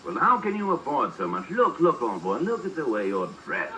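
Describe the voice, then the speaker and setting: A man's voice: mid-range voice, huge pitch swings, normal speed, a crowd in the background. An actor playing a vain, boastful ladies' man with a pompous, florid manner in old radio comedy style. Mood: smug, needling. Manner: pompous, self-admiring, florid. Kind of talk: 1940s radio comedy acting. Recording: noisy old radio recording.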